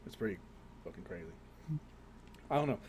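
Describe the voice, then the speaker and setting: A man's voice: low-pitched, lively intonation, a little fast, a little mellow. Sweary man in noisy podcast chat. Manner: crude, sweary banter. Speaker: podcast co-host. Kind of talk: casual joking podcast chat. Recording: noisy background.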